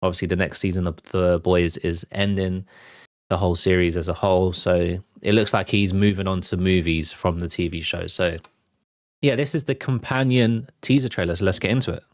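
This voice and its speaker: A man's voice: deep voice, steady tone, normal speed, no echo, clean studio sound. A British man hosting a movie trailer show, giving casual reaction commentary.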